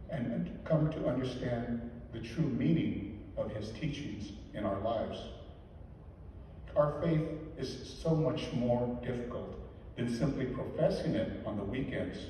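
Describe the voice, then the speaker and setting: An older man delivers a homily in a mellow, dark voice, earnest and deliberate. He is heard from far back in a large church, with long echoing reverb and faint room hiss behind him. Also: mid-range voice. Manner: measured, earnest, slow deliberate emphasis. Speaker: deacon or priest giving a homily. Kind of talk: weekday homily. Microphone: lectern mic, filmed from the back pews.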